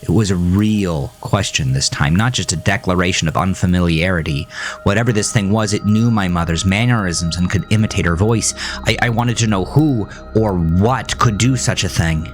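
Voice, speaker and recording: A man narrating a scripted first-person horror story in a measured, dramatic manner. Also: deep voice. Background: music.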